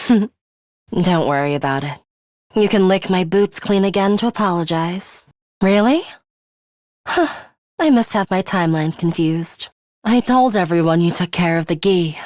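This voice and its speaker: A woman voicing a composed, battle-hardened young woman in an English video game, speaking in a deliberate, matter-of-fact way. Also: lowish voice, lively intonation, darkish tone, dry recording, clean studio sound. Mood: dry, teasing. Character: young woman, composed battle-hardened time-looper, coolly urgent ally.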